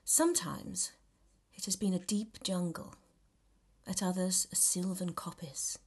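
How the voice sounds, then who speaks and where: A woman reading a novel extract aloud in an expressive, theatrical and measured storytelling style. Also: on the low side, husky, in a whisper. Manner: theatrical, measured storytelling with dramatic emphasis and hushed dips. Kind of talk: expressive scripted audiobook narration.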